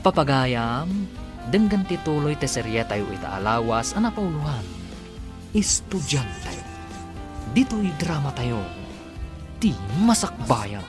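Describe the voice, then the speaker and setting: With music playing strongly behind him, an Ilocano man narrates a radio serial. His scripted delivery is dramatic, and his pitch swings widely as he announces. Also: sing-song, slow pace, long pauses. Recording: prominent music under the voice.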